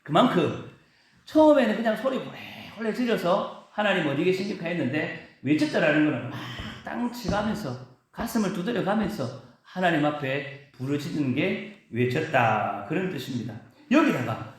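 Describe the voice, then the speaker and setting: Pastor, male, emphatic preaching style, animated pitch, heavy church-hall reverb. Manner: preaching with emphatic rises and drawn-out stresses. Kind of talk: sermon. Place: church sanctuary.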